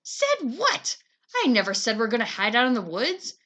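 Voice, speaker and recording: A woman acts out a bossy big sister from a children's storybook. The girl sounds high, bright and indignant, giving orders in emphatic, swooping bursts. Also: wildly swooping pitch, normal speed, full character voice. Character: bossy, exasperated big-sister girl, a grammar-minded planner.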